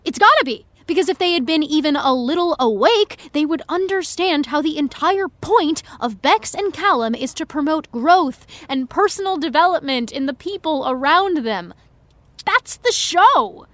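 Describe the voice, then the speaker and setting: High-voiced, dramatic young actress. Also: female voice, animated, moderate pace, fairly bright tone, dry recording. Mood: indignant ranting. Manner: emphatic, sarcastic, exasperated. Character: dramatic, indignant young actress, ranting and flustered. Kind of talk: naturalistic audio comedy-drama acting.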